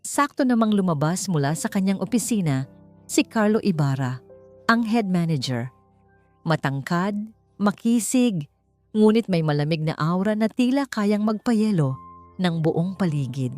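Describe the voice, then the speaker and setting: A woman's voice: on the low side, lively intonation, unhurried, a little mellow. A woman narrating a scripted audiobook story in a dramatic storytelling style, with music behind her.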